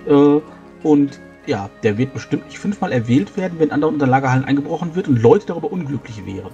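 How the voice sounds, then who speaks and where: Bright-voiced man explaining in character. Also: on the high side, lively intonation, quick pace, gravelly, dull audio, soft background music. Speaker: tabletop role-playing player. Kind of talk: in-character improvised game dialogue.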